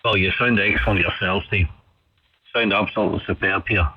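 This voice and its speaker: A man's voice: deep voice, steady tone, a little fast, husky. A man chatting casually and in a friendly, rambling way over a ham radio transmission with muffled audio. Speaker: amateur radio operator. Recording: dull band-limited ham radio audio.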